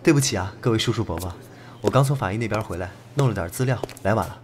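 A man's voice: on the low side, expressive, fast talker, background noise, close to an everyday voice. An actor playing a confident young police detective in an audio drama, laying out his reasoning in an articulate, methodical way. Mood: polite, apologetic. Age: young adult. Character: confident young male police detective, analytical, returned from abroad. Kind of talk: Chinese audio drama acting.

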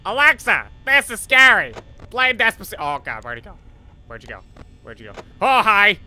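A man's voice: sits high, big pitch swings, moderate pace, bright, forceful tone, full character voice. A man voicing an anxious, overly polite cartoon schoolteacher, nervously exclaiming and pleading as he commentates on a horror game. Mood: alarmed, loud. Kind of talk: let's play commentary in character.

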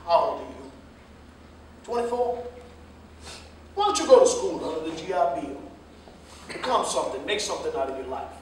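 Echoey, far-off actor as high-voiced older American jitney driver. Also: male voice, big pitch swings, normal speed, pauses often. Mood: earnest, advising. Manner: folksy, emphatic, lecturing. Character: older railroad pensioner jitney driver, fatherly, plain-spoken adviser. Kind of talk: staged play reading, dialogue acting. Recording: distant mic, echoey hall, faint noise.

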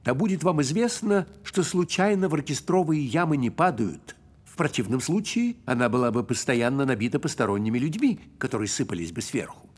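A man's voice: medium pitch, lively intonation, brisk, breathy edge. A man voicing a fussy, dignified cricket in a cartoon, with pompous, theatrical, old-fashioned formal speech. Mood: stern, scolding. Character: fussy, dignified male cricket, keeper of music. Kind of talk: cartoon character acting.

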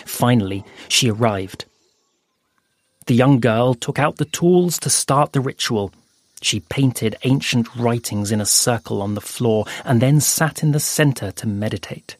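A man narrating an audio fable in a slow, hushed storytelling manner with dramatic pauses. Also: medium pitch, dry recording. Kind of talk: scripted audio fiction narration. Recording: soft music underneath.

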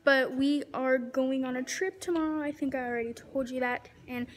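A woman's voice: high voice, steady tone, normal speed, very noisy. A young woman with an American accent chatting casually to viewers as a vlogger. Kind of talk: casual vlog chat to viewers. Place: bedroom, kitchen, car and outdoors at night. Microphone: phone or device held at arm's length.

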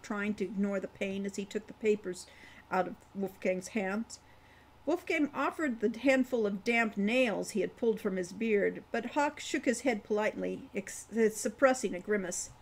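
A woman in her sixties reading her own fantasy novel aloud as story narration at home. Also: mid-range voice. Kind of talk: audiobook-style story narration. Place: room at home, indoors.

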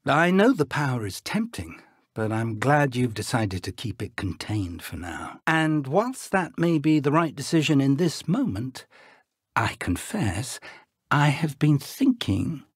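A man playing a kindly, earnest elderly British wizard professor in a video game, with measured, refined, formal phrasing and a weathered voice. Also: medium pitch, very animated, breathy edge, no room sound, studio quality. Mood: grave, reflective. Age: older. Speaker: game character voice actor. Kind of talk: video game dialogue acting.

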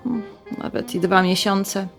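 Middle-aged mom, chatty and motherly, natural radio-drama dialogue, mid-pitched voice. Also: a little slow, soft background music. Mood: worried. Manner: colloquial, expressive, motherly. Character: chatty, warm-hearted middle-aged mother of grown son.

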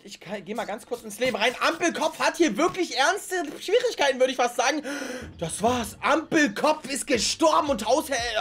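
A gaming video host giving excited gameplay commentary, exclaiming often and talking directly to the viewers. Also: medium pitch.

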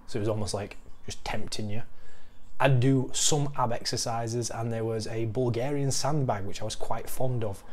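Young Northern English fitness coach. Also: male voice, mid-range voice, normal speed, darkish tone. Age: young adult. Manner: candid, self-deprecating, explanatory. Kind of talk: casual talk to camera. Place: living room at home. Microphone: lapel mic.

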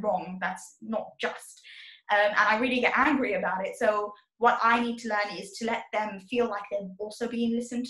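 A woman's voice: mid-range voice, fairly bright tone, reverberant, rough recording. A young woman from Zimbabwe speaking earnestly as a panelist on a video call, with frequent restarts. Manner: earnest, thoughtful, many restarts.

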